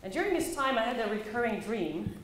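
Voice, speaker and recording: A woman with a Dutch accent gives a storytelling talk in a highly reverberant room. Her voice sits on the high side, and she speaks expressively with dramatic pauses. There is slight noise behind her. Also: sing-song. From Netherlands. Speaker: conference speaker, play facilitator. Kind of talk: personal storytelling talk to a live audience. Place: echoey indoor conference room. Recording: very echoey room, faint background noise.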